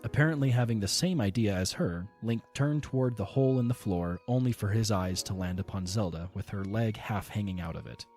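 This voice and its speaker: A male narrator with a fairly low voice reads the story in a steady, measured way. He tells the scene calmly between the characters' lines. Faint music sits under his narration. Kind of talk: storybook narration of an audio drama.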